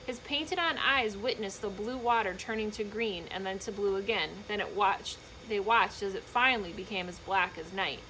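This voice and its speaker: A woman reading a children's book aloud in an expressive, emphatic storytelling manner, with short explanatory asides for young listeners. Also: medium pitch, moderate pace, hardly any pauses, a little hiss or hum, rough recording.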